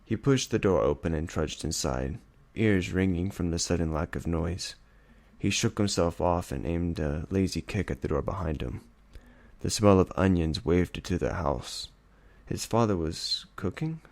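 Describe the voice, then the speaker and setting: A man's voice: deep voice, darkish tone, dry recording. A man reading a story aloud as dramatic scripted narration. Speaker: audiobook reader.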